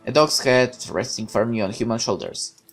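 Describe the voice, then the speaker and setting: A man with a mid-pitched, everyday voice reads a visual novel aloud in the first person. Now and then he stops for rambling asides, with lots of "uh" and "well". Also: moderate pace, soft background music. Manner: hesitant asides full of fillers. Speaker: game streamer.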